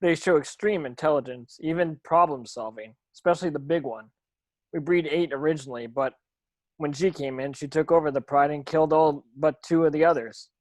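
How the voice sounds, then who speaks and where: A man playing a grim, intense game warden in his forties at a script table read, grave and deliberate. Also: on the high side, darkish tone, dry recording, natural voice. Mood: grim, warning.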